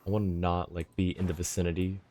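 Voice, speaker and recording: A woman's voice: a bass voice, flat delivery, fast talker, dark tone. A woman giving casual, wry game livestream commentary, musing aloud. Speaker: streamer. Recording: game music behind the voice.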